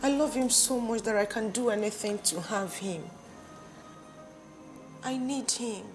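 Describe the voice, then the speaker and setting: An actress playing an obsessive, defiant young woman, with a sharp, confrontational voice. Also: a little high, unhurried, natural voice. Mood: intense. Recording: faint music behind.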